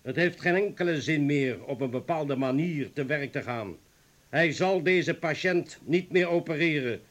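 A grave comrade in a radio drama. His voice is fairly high for a man, and he declaims deliberately, resolute and weighed down by guilt. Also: lively intonation. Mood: grave, resigned. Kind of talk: radio play acting.

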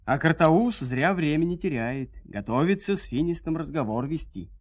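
A man narrating a folk tale in an expressive, folksy storytelling manner. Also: on the high side, moderate pace, dry recording.

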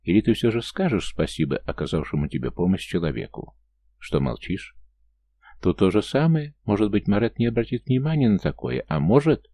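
A man's voice: on the low side, big pitch swings, a little fast, dark tone. A man voicing a blunt, commanding healer-priest who lectures brusquely in a fantasy audiobook. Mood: lecturing.

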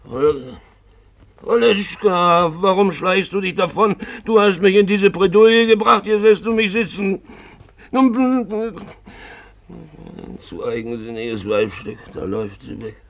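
An actor playing a boozy, jovial, lecherous gentleman in a 1950s German radio drama, gravelly and theatrical, going from grumbling mumbles to loud wheedling cries. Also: sits high, animated, a little slow, heavily acted. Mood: indignant. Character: boozy, roguish Russian gentleman found alive, jovial lecher.

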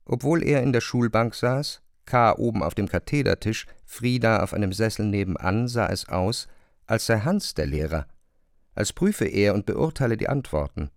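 A man narrating a literary radio drama in a measured, precise manner. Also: on the low side, expressive, no echo, studio quality.

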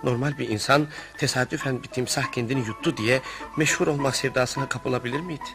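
An actor playing an earnest, exasperated family friend in radio theatre, delivered as theatrical storytelling. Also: male voice, medium pitch, quick pace, over music, close to an everyday voice. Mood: wry storytelling. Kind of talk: radio theatre narration and dialogue.

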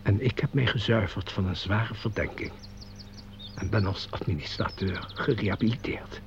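An actor playing a sly, fawning, crooked bookkeeper in a radio play, with formal, unctuous, theatrical delivery. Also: male voice, lowish voice, normal speed, natural voice. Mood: slyly persuasive. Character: sly, fawning, embezzling bookkeeper, secret lover. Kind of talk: Dutch radio play acting. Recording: dull, noisy radio play recording with hum.

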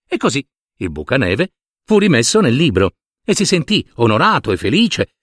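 A man's voice: a little high, a bit bright, no room sound, clean studio sound. A man narrating a fairy tale expressively, theatrical and with conversational asides. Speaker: storyteller.

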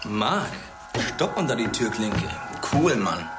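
A man's voice: fairly low pitch, very animated, slow pace. An actor playing a cocky, mocking young male pupil with a brash, sneering manner, in an echoey radio drama scene. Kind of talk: naturalistic German radio drama acting. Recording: echoey room with music underneath.

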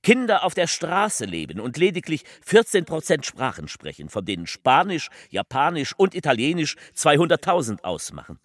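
Fervent politician, declamatory radio-play oratory, wide swings in pitch, dry close sound, deliberate pauses. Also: male voice, huge pitch swings, fairly bright tone, no echo, exaggerated voice. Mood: grave, insistent. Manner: declamatory, deliberate pauses, emphatic swells and drops. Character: fervent male politician delivering a rambling, faltering public speech.

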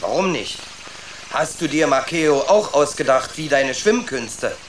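A man's voice: a little high, lively intonation, unhurried, slight background noise, poor audio. A young man playing an adventurous, stubborn young rat who tells his own story in a children's radio play. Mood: challenging. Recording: clear ambient effects behind.

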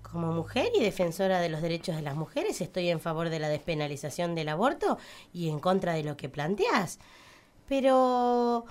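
A woman's voice: on the low side, animated, normal speed, darkish tone, natural voice. A woman acts out an outspoken female councillor in a radio satire. She argues directly and firmly, standing her ground as a defender of women's rights. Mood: argumentative. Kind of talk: satirical radio play acting.

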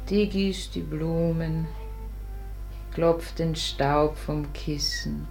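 An actress playing a sorrowful, lonely mother in a solemn verse radio drama, reciting in a hushed, brooding way. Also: sits low, heavily acted. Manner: slow, hushed, poetic recitation fading into breathy whispers.

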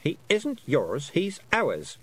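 A man's voice: on the high side, wildly swooping pitch, takes it slowly, a bit bright, breathy edge, pitched above the performer's own voice, heavily acted. A man voicing a stubborn young girl in a storybook reading, blunt, accusing and insistent. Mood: indignant. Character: stubborn, defiant young girl witch Tiffany. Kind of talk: audiobook character voice.

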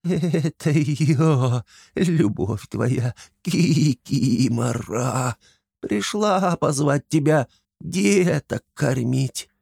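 A man's voice: on the high side, expressive, drawn-out words, gravelly, darkish tone, husky, no echo, clean studio sound, voice pushed up, full character voice. A man putting on a thin quavering swamp hag's coo. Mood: eerie cooing. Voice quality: thin, quavering, nasal cooing. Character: creepy female swamp kikimora, cooing and lewd.

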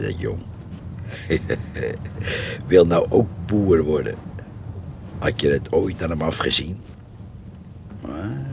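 A noisy radio play recording of a nosy old villager. His voice is very low and gravelly, and he rambles and grumbles in a folksy, chatty way. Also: exaggerated voice. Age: older. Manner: rambling, muttering, folksy talk. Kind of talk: radio drama acting.

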